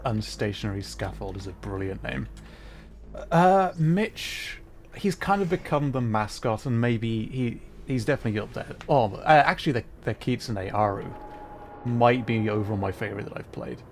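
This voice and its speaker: A man's voice: mid-range voice, moderate pace. Chatty male streamer, faint background noise. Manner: chatty, rambling. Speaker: livestreamer, VTuber. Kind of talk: casual gameplay commentary and chat.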